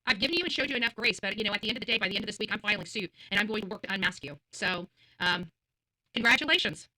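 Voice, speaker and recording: Female livestreamer, blunt and combative, sharp forceful voice, racing pace, hammers phrases by repetition. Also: medium pitch, racing, low-quality recording. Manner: combative, confrontational, blunt and profane; emphatic, repeats phrases. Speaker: livestream host. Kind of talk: livestream rant to viewers.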